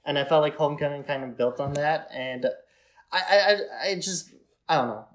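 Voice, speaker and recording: A man's voice: fairly high pitch, normal speed, a bit bright. A man co-hosting a podcast, giving his opinions on films in informal, conversational speech. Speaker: podcast co-host. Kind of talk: casual film discussion chat.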